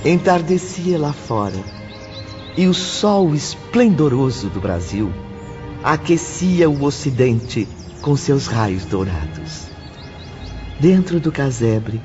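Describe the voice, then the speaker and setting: A man narrating a scripted literary story in a measured, dramatic way. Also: mid-range voice, mellow. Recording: dull lo-fi audio, faint music.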